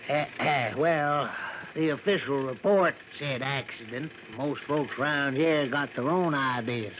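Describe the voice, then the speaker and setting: An actor playing a folksy backwoods American driver who gossips grimly and deferentially, in a drawn-out vintage radio drama style. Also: male voice, mid-range voice, close to an everyday voice. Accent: rural American. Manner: folksy, drawn-out storytelling with dialect grammar. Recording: muffled, noisy vintage radio broadcast.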